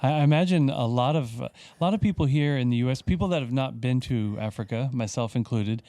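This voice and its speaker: Mellow friendly radio host, a man. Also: medium pitch, no room sound. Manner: friendly, conversational, slightly halting. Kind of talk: radio studio interview. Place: radio studio.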